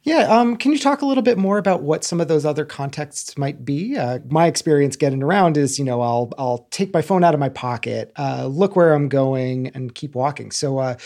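A man's voice: fairly high pitch, darkish tone. A man interviewing a guest, asking curious, probing questions with casual fillers.

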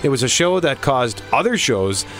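A man's voice: mid-range voice, sing-song, normal speed, fairly bright tone, soft background music. A man hosting a radio show, mixing scripted narration with casual asides in an emphatic way, drawing out key phrases.

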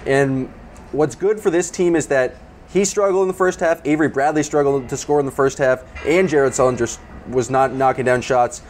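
A man's voice: mid-range voice, fairly quick, a little mellow, slight background noise. A man talking as a panelist in a casual basketball discussion. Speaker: sports panelist.

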